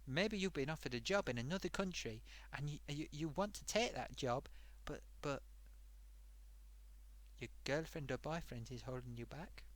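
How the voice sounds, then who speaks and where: A man's voice: on the high side, animated, normal speed, no echo, natural voice. A man voicing a chatty sock puppet host who rambles off topic and talks to viewers, his throat getting sore. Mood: rambling. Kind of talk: rambling comedic live-stream monologue.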